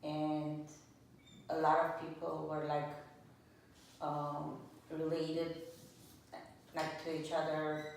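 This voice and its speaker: A woman's voice: low-pitched, completely flat delivery, slow pace, creaky, pauses often. A woman in her sixties from Lebanon answering oral history interview questions in a plainspoken, hesitant way. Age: 60s. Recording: echoey room, distant mic, faint noise.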